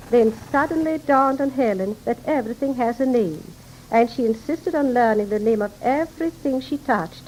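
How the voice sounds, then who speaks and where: High-voiced woman, precise, old radio recording. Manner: precise, articulate, formal. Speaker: secretary and interpreter, radio interview guest. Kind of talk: formal radio interview, explanatory storytelling. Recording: noisy 1930s radio broadcast recording.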